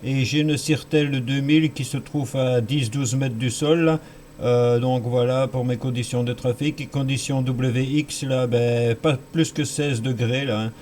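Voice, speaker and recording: A man's voice: mid-range voice, steady tone, unhurried, a little mellow. Faintly noisy radio, man chatting matter-of-factly. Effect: two-way radio. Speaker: amateur radio operator. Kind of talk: casual radio contact chat. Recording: slightly noisy radio transmission.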